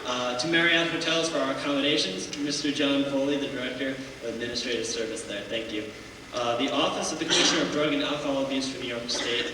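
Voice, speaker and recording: A young college singer giving halting onstage thanks, with many ums and uhs. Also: male voice, medium pitch. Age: young adult. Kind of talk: casual onstage speech. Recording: echoey hall, mic far off, loud noise.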